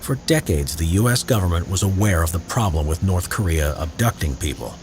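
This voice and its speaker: A man narrating a history documentary in a measured, expressive, scripted storytelling style. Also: low-pitched, background noise. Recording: narration with faint music bed.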